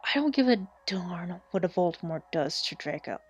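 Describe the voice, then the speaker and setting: A woman voicing a mean, contemptuous old male headmaster wizard, low and cold. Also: animated, moderate pace, breathy edge, dull audio.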